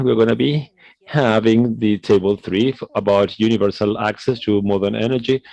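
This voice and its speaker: A man moderating an online energy conference, giving formal closing announcements at a measured pace. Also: lowish voice, creaky, darkish tone, dry recording, rough recording. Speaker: event moderator.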